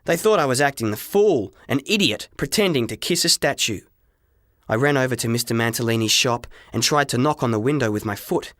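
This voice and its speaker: A male storyteller reads a first-person story in a dramatic, expressive way. His voice sits at a middling pitch. The sound is very dry, with little room around it. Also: brisk, no echo. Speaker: audiobook narrator.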